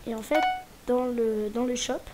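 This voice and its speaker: A woman's voice: on the high side, fairly even intonation, moderate pace, noisy background. A woman hosting a casual gameplay tour, a little stuffy from a cold, hesitant and restarting her sentences, often muttering asides under her breath. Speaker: gaming channel host.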